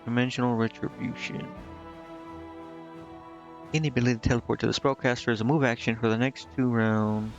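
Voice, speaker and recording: A man streaming a video game, casually thinking out loud and reading menu text to himself, with some offhand swearing. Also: medium pitch, steady tone, brisk, long pauses, muffled recording, faint music behind. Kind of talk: casual live gameplay commentary, muttering and reading menu text aloud.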